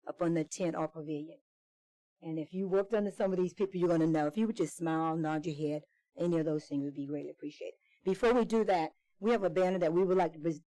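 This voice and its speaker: A woman's voice: fairly low pitch, warm tone, no room sound, rough recording. A woman speaking for a committee in an informal public presentation, repeating and rephrasing for emphasis. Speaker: committee spokesperson. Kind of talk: informal public introduction speech.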